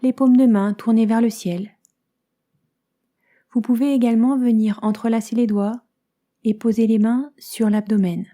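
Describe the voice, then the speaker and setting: A woman leads a guided relaxation. Her voice is fairly high yet very dark and velvety, softening into breath at the ends of phrases. She gives gentle, soothing instructions and leaves long pauses between them. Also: fairly even intonation. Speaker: yoga nidra teacher guiding a relaxation session. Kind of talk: guided meditation.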